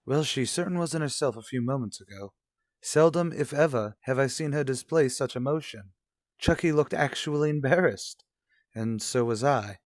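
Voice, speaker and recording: A man's voice: medium pitch, normal speed, no room sound, studio quality, lightly acted. A man playing a self-assured, dismissive father and husband in an old-fashioned radio soap, formal and slightly pompous. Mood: disapproving.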